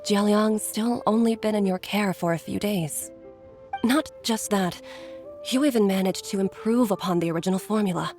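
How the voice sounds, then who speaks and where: A woman's voice: mid-range voice, normal speed, a little mellow, faint music behind, close to an everyday voice. A woman voicing an earnest, sighing doctor mother. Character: caring middle-aged doctor and mother.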